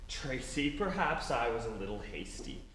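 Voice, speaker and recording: A man acting a stuffy, priggish, wounded fiancé in a 1940s-style radio comedy, stiff and halting. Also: medium pitch, big pitch swings, fairly bright tone. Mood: indignant, awkward. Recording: echoey hall, live stage reenactment.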